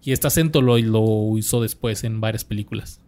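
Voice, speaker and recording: A man's voice: on the low side, normal speed, darkish tone. A young adult man with a Mexican accent, casually reading out a biography on a podcast with slangy asides. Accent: Mexican Spanish. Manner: laid-back, slangy, reads from notes with restarts. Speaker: podcast host.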